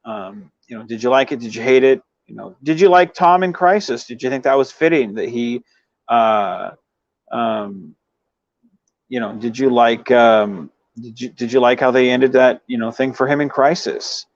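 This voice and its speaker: A man hosts a casual livestream, talking to his viewers in a loose, rambling way full of habitual filler phrases. His voice sits at an ordinary middle pitch. The audio is dry, with hardly any room around it, and a little dull and lo-fi. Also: moderate pace, no echo. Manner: rambling, frequent "you know" verbal tic. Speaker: livestream host. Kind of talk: casual chat with live viewers.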